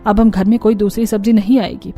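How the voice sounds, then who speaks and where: A woman's voice: mid-range voice, fairly even intonation, a little fast, dark tone, soft background music, natural voice. A woman voicing a strict mother-in-law with a firm, declarative manner in a cartoon story. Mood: commanding. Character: strict mother-in-law devoted to her favorite food.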